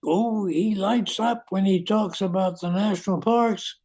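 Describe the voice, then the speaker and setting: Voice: mid-range voice, steady tone, measured pace, warm tone. A performer playing an earnest, kindly park ranger in an amateur radio drama. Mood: friendly.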